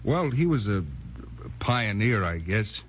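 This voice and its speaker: A man's voice: on the low side, big pitch swings, slow pace, husky, heavily acted. An actor playing an unassuming, hesitant ordinary man with an American accent in a 1940s radio drama. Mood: hesitant, reminiscing. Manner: modest, hesitant. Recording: muffled, faintly humming 1940s radio recording.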